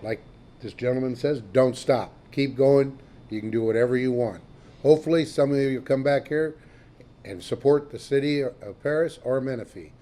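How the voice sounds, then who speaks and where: A man with a raspy voice giving a short, plainspoken speech of encouragement, pausing with fillers. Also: medium pitch, warm tone. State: raspy voice this morning. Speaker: guest speaker, veterans and business leader.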